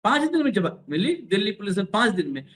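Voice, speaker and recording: A man's voice: sits high, big pitch swings, fast. A man hosting a news discussion, commenting and repeating phrases for emphasis with some hesitation. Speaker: news show host.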